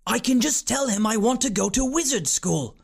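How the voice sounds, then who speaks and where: A polite, earnest young boy who tries to reason calmly, as a man reading a story aloud puts him on. The voice is light and fairly high, close to the reader's own. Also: normal speed, gravelly, slightly rough, exaggerated voice.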